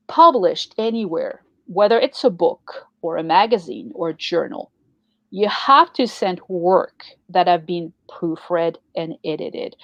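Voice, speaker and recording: A woman's voice: lowish voice, dry recording, dull audio. A woman whose first language is French, co-hosting a podcast and explaining writing tips in a casual, roundabout way with many fillers. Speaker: podcast co-host. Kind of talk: casual advice talk.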